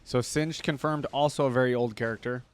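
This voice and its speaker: A man's voice: mid-range voice, steady tone, brisk, clear, resonant tone. A man doing casual reaction commentary as a host, thinking aloud and echoing what he hears.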